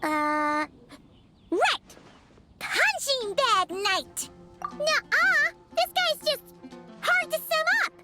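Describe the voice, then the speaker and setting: A woman voicing a tiny, cheeky, childlike fairy companion in an anime-style game, squeaky and sassy, with music behind. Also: sing-song, extremely slow, long pauses, heavily acted. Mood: cheeky, excited. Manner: refers to self in third person, sassy.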